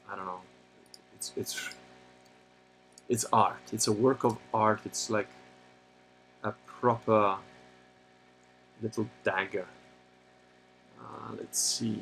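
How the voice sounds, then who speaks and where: A man with a low-pitched, gravelly voice talks loosely as a livestream host, wandering from point to point with frequent pauses and fillers. He turns blunt and opinionated when he takes a side. There is a faint hum of background noise behind him. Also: low-quality recording. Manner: rambling, halting, many pauses and fillers. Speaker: livestream host answering chat. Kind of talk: casual unscripted monologue.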